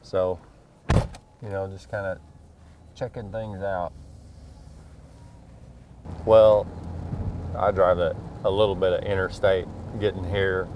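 A man's voice: low voice, little pitch movement, takes it slowly, pauses often. A middle-aged man, a school superintendent, chatting casually while driving his truck at night, thinking aloud with many fillers. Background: faint car engine and road noise.

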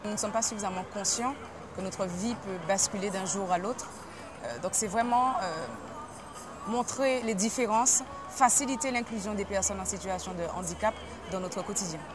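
A woman's voice: medium pitch, normal speed, over music. A woman in her thirties gives an interview in a large exhibition hall. She speaks earnestly and hesitantly, with many fillers, into a lapel mic. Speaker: project manager, interviewee. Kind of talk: on-camera interview. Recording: noisy fair hall. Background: crowd noise of a trade fair.

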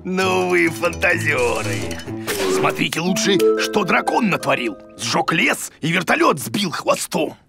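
A man voicing a silly, excitable bearded creature teacher in a children's cartoon, blustering and confidently wrong. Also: on the high side, a little slow, creaky, full character voice. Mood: indignant. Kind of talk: children's educational cartoon acting. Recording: music behind the voice.

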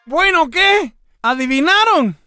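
An actor voicing a vain, bossy, gleeful tsar in an exaggerated cartoon dub, with exclamations and drawn-out words. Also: very high voice, lively intonation, music in the background, heavily acted. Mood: mocking, excited.

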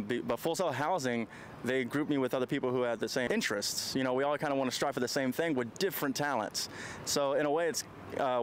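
A man's voice: medium pitch, a little hiss or hum. A twenty-nine-year-old male film student giving chatty, thoughtful answers outdoors into a handheld mic. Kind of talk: casual answers. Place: outdoors on campus.

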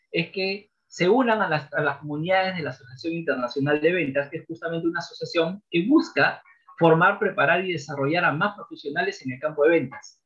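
Male sales coach, 40s, higher voice, punchy and repetitive delivery, dull webcam sound. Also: a bit bright. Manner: emphatic, repeats key phrases like a trainer. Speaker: sales trainer guest. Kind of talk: podcast interview by video call. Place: home office with bookshelves.

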